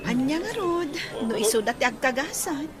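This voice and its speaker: An actress with an Ilocano Filipino accent playing a sweet-tempered young student in a radio drama. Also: female voice, high-pitched, lively intonation, moderate pace, crowd noise. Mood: chatty.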